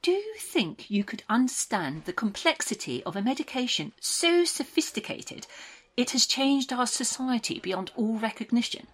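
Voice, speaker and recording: An actress playing a condescending woman official in an audio drama, speaking in a measured, falsely soothing, patronising way. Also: a little high, big pitch swings, husky. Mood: condescending, probing. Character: coolly condescending official woman running orientation interviews.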